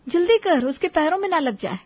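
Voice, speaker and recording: Put-upon young daughter-in-law acted by a woman, resentful and aggrieved, high voice, family tale, dull recording. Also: big pitch swings, a little fast. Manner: aggrieved, muttering. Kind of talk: Hindi family story dramatization.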